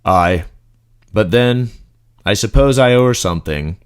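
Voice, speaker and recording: A man playing a male dark elf general, composed, commanding and formally courtly, in a fantasy audio drama. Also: fairly low pitch, expressive, a little slow, clear, resonant tone. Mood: measured, guarded.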